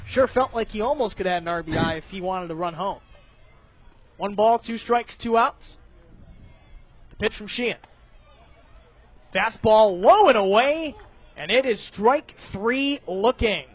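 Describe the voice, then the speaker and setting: An American man doing live radio play-by-play of a baseball game, describing each pitch and play in detail. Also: a little slow, pauses often, breathy edge. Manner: detailed, narrates each pitch, animated on big plays.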